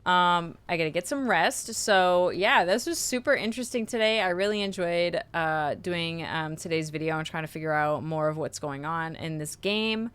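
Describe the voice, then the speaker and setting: A woman chats casually as she hosts a gameplay video. Her voice is on the low side and smooth, though tired from a cold and sore throat. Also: normal speed, few pauses, fairly bright tone. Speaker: gaming video host.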